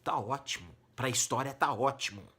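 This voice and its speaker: A man's voice: medium pitch, animated, moderate pace. Brazilian man teaching with emphatic, colloquial delivery. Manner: emphatic, colloquial, rhetorical questions, swinging between stressed and hushed phrases. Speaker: humanities teacher and exam-prep host. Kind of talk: informal educational monologue to students.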